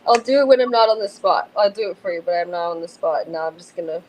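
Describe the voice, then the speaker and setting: A woman's voice: fairly low pitch, quick pace, darkish tone, a little hiss or hum, low-quality recording. A woman joining a livestream chat, rambling and giggly as she pokes fun at herself. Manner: giggly, rambling, self-deprecating.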